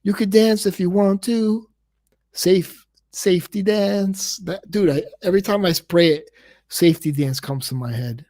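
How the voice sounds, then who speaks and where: A man's voice: sits high, measured pace, darkish tone. A man hosting a casual livestream, rambling and repeating words, and he says he stutters. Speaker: livestream host.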